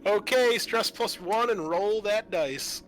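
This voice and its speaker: A man acting as game master, narrating a tabletop roleplaying game to friends and explaining rules in a halting way. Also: sits high, a bit bright. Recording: faint music behind the voice.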